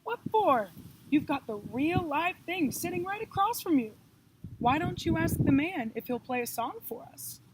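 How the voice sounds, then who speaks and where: A woman voicing a spirited grandmother who chides gently in a folksy, emphatic way, for a children's storybook. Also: very high-pitched, very animated, moderate pace, pitched above the performer's own voice. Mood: encouraging. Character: spirited grandmother, gently scolding, wise and encouraging. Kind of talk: children's picture book read-aloud. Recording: noticeable background noise.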